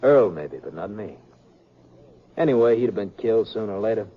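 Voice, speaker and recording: An American actor playing a callous, drawling outlaw who makes folksy threats in a 1950s radio western. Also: male voice, fairly low pitch, animated, a little fast. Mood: casual, boastful. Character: callous armed outlaw, drawling, coolly menacing man. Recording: dull lo-fi 1950s radio recording.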